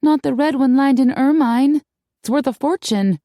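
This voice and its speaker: An anxious, responsible young woman, played by a female narrator in a storybook tale. She speaks urgently in a raised, very animated voice that still has a soft edge. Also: a little high, unhurried, no room sound, studio quality, pitched above the performer's own voice. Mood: dismayed.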